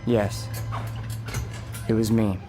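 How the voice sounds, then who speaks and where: Radio play role: Death as a gentle, persuasive man, lowish voice, measured and insistent. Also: level tone, music in the background. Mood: calm, frank. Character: calm, gentle, persuasive Death in a man's form. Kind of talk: dramatic radio play acting.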